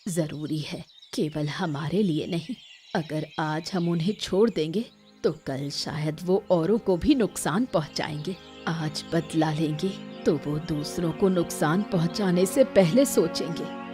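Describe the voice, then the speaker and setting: A woman's voice: sits low, faint music behind. A woman voicing a firm village mother. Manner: calm, firm and measured. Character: determined, wise village mother. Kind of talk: Hindi cartoon dubbing.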